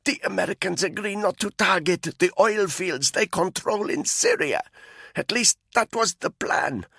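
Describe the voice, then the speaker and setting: A man voicing a frightened female informant, her voice croaking and strained as a rope chokes her. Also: high-pitched, measured pace, bright, forceful tone, no echo, studio quality, pitched above the performer's own voice, exaggerated voice. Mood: fearful. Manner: breathless, pleading, rising to cries.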